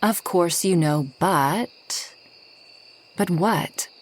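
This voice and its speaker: Slow, husky forest witch, played softly by a woman. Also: lowish voice. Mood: soft, wistful. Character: reclusive, centuries-old kind but proud forest witch. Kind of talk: soft ASMR roleplay.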